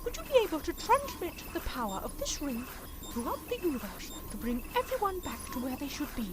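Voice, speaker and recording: A woman's voice: sits high, big pitch swings, fairly quick, background noise. A woman playing a confident, triumphant female defender of Earth in an audio drama. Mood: relieved, fond. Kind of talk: fan audio drama acting.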